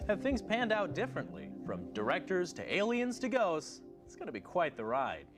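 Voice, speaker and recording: A man voicing a smooth corporate announcer for a promotional show, with a polished, salesy delivery. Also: high-pitched, huge pitch swings, normal speed. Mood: upbeat pitch. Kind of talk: scripted corporate promo narration. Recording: music bed behind voice.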